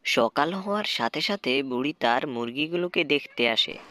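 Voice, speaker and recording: Bright-voiced male folktale narrator. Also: mid-range voice, lively intonation, normal speed. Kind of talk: scripted folktale narration for a cartoon.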